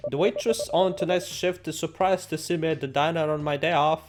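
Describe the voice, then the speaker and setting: A man doing game playthrough commentary, reading the story text aloud with theatrical, sarcastic asides. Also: fairly high pitch. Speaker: gaming video host. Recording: music behind the voice.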